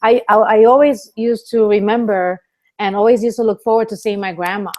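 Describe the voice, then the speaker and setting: Chatty woman with a mid-pitched voice. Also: fairly even intonation, a little fast, darkish tone. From the Bronx and Puerto Rico. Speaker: interview guest, actress. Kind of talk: casual chat.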